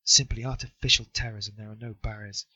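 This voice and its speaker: A man's voice: fairly low pitch, steady tone, fast talker, bright, forceful tone, husky, dry recording, full character voice. A man voicing a bitter, brooding young man muttering sardonic thoughts to himself. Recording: dull, dry recording.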